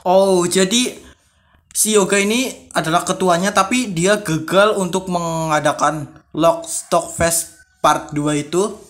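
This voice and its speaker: A young-sounding, high-voiced man hosts an informal vlog. He reads written accounts aloud, then rephrases them and reacts in everyday conversational language. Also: takes it slowly, a bit bright. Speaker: video creator, host. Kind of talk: casual vlog, reading stories aloud with commentary.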